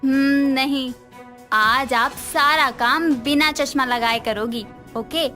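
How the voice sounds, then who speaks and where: A woman acts out a scheming young sister-in-law from a cartoon family tale. Her voice sits high, and she needles with vain, sarcastic teasing. Music plays clearly underneath. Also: steady tone, takes it slowly, pushed and bright, full character voice. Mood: sly. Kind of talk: animated Hindi moral story dialogue.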